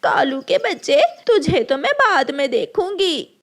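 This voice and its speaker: A woman voicing a dramatic, scolding crow mother in a cartoon, shrill and exclaiming. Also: big pitch swings, measured pace, faint music behind. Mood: outraged.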